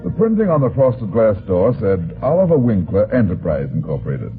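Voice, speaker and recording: Deep-voiced hard-boiled radio detective. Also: male voice, wildly swooping pitch, fairly quick, over music. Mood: wry narrating. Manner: terse, tough, sardonic. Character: hard-boiled male private detective, wry and blunt. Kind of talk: old-time radio detective narration and dialogue. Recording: thin, phone-like vintage radio recording.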